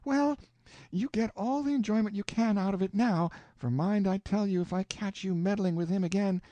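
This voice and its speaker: A man's voice: high voice, moderate pace, warm tone, no room sound, pitched above the performer's own voice, natural voice. A man voicing a fussy, flustered elderly country aunt who scolds and exclaims in a raised voice. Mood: indignant.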